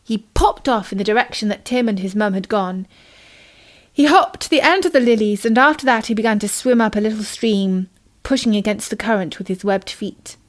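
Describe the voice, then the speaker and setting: A woman's voice: mid-range voice, lively intonation, brisk. A woman with a British accent narrating a children's bedtime story expressively as a storyteller.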